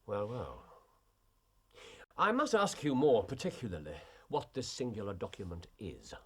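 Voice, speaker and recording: An actor playing an incisive, aloof gentleman detective with an upper-class English accent, clipped and probing, in a period drama. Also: lowish voice, wildly swooping pitch, normal speed, breathy edge, exaggerated voice. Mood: firm, dismissive.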